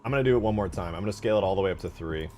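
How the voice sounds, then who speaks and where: Low-voiced man casually thinking aloud. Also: very fast, a little mellow, slight background noise. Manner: thinking aloud, informal slangy phrasing. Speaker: game modder hosting a development stream. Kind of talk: casual unscripted commentary while working.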